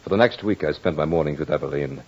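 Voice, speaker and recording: A man's voice: very deep voice, fast. An actor playing a brooding French nobleman and husband in an old-time radio horror drama, speaking in a formal, grave, theatrical manner. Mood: grave, haunted. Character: brooding French nobleman husband, narrating his tale of dread. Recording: muffled old radio recording with music.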